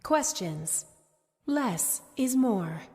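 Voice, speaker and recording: An actress playing a strict, falsely pleasant theme-park announcer giving measured rules in a long reverb. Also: female voice, fairly high pitch, sing-song, darkish tone, full character voice. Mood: pleasantly strict. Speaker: public announcer. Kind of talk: scripted park safety announcement. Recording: long hall reverb, faint music.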